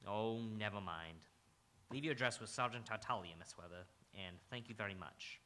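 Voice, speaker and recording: A man's voice: fairly low pitch, moderate pace, noisy background, close to an everyday voice. A man playing a hard-boiled, world-weary police detective in a live radio noir drama, brooding and terse. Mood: businesslike. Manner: terse, brooding, clipped questioning.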